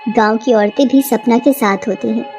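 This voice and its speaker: High-voiced woman storyteller with soft music. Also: fairly even intonation, normal speed. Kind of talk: scripted narration of a children's horror story.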